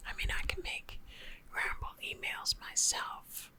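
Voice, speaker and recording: A woman's voice: unhurried, sharp tone. A woman rambling in a chatty, close-mic whisper, talking to a friend in an ASMR video. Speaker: ASMR creator.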